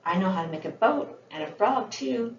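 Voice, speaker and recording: A woman's voice: medium pitch, expressive, normal speed, natural voice. A woman voicing a cheerful, curious and friendly little girl from a children's storybook. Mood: helpful. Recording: roomy, muffled, with faint background noise.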